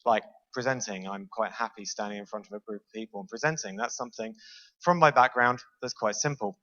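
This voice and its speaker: A man's voice: on the low side, brisk, sharp tone, highs cut off. A British man speaking from a stage in a large lecture hall, giving an easygoing, self-aware talk and putting questions to the audience. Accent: British English. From Britain. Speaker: conference speaker. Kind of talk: conference talk to a live audience.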